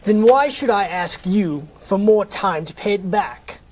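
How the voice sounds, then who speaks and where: A man's voice: sits high, lively intonation, unhurried. A man reading the part of a stingy, cold miser in a comic table read, curt and dismissive. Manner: curt, dismissive, dryly haughty.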